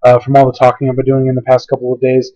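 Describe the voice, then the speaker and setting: A hoarse man casually talking through a software tutorial, rambling and hesitant with lots of fillers. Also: mid-range voice, monotone, rapid-fire, no room sound, highs cut off. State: hoarse, voice going out. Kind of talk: casual screen-recorded tutorial.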